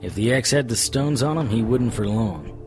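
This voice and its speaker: An actor playing a wry, deadpan, hardboiled supernatural private investigator narrating a noir radio drama in a husky voice. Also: male voice, mid-range voice, moderate pace, faint music behind, lightly acted. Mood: wry, watchful. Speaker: narrator and lead.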